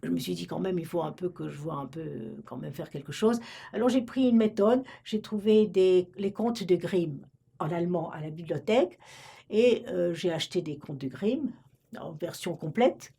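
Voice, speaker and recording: A woman's voice: fairly low pitch, vocal fry, mellow, breathy edge. An older woman from Romania, a retired librarian, recalling her past in an oral history interview, hesitant and full of restarts. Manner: hesitant, many restarts and fillers.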